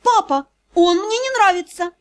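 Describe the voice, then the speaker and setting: Woman voicing a fierce high-pitched young vampire lady. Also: normal speed. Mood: furious, contemptuous. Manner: imperious, scolding, threatening. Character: fierce, fearless, hot-tempered young female vampire noble.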